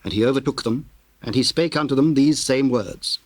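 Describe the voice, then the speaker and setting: A man narrating scripture in a formal, measured storytelling manner. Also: medium pitch, no echo. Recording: noisy old cassette tape.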